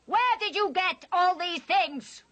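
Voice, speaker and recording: Cruel cartoon witch queen, very high voice, bright and forceful, haughty, commanding. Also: slow, heavily acted. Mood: haughty, menacing. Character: imperious, cruel witch queen, quick to rage. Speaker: villain. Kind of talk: theatrical animated fantasy acting.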